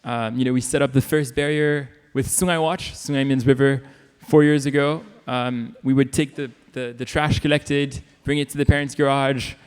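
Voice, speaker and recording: A man's voice: medium pitch, fairly even intonation, normal speed, clear, resonant tone. A man from Indonesia speaking earnestly as a panelist, with many "um"s. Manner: earnest, filler-heavy. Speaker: panelist, nonprofit founder. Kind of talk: panel talk. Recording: long hall reverb.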